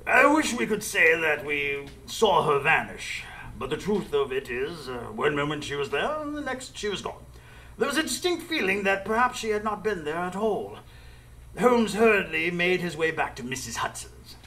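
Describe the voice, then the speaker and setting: A man playing a proper gentleman sidekick and narrator in a live radio drama, formal and easily exasperated. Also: fairly high pitch, very animated, normal speed, low-quality recording. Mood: measured storytelling.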